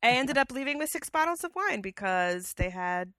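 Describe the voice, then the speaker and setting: A woman's voice: fairly high pitch, sing-song, moderate pace, pushed and bright, dry recording, studio quality. A woman co-hosting a casual podcast who has a cold and sounds slightly scratchy, telling chatty stories with fillers, on a headset mic. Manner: chatty storyteller, many fillers. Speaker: podcast co-host. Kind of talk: casual chat.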